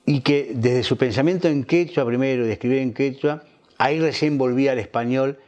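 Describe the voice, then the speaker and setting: A man's voice: medium pitch, brisk, darkish tone, highs cut off. A man with a Rioplatense accent conducting a conversational interview, reflective in how he frames his questions. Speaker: interviewer on a literary television programme.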